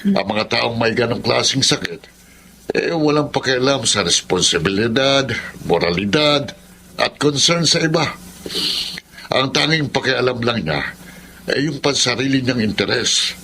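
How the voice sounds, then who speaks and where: A man's voice: mid-range voice, animated, measured pace, vocal fry, bright, forceful tone, exaggerated voice. A man acting in a Filipino radio drama as an earnest, protective older father, speaking emphatically. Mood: grave, confiding. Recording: light background noise.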